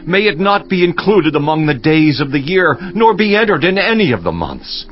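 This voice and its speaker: A man's voice: a little high, sing-song, moderate pace. A man playing an anguished, grieving man of scripture who curses his life, declaiming it solemnly and mournfully in a theatrical dramatized reading. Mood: bitter despair. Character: anguished, grieving Job cursing his birth. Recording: muffled audio with music underneath. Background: music.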